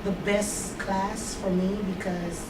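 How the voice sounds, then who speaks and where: A woman's voice: medium pitch, slow. A woman with a Jamaican accent, sharing her thoughts in a training class, speaking with enthusiasm and often saying "like". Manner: animated, enthusiastic, fillers like "like". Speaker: workshop participant. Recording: roomy, from far off, noisy.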